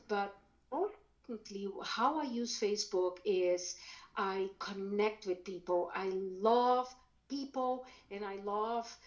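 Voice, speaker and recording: A woman's voice: medium pitch, highs cut off. A woman from Brazil whose first language is German, a painter chatting upbeat as a podcast guest. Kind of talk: interview conversation.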